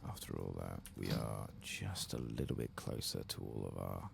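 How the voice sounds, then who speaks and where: A man speaks in an extremely deep, softly hushed voice thick with creak. He narrates his game in ASMR style, halting as he goes, over faint background noise. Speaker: game streamer, ASMR host. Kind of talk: soft-spoken, whispered ASMR game commentary.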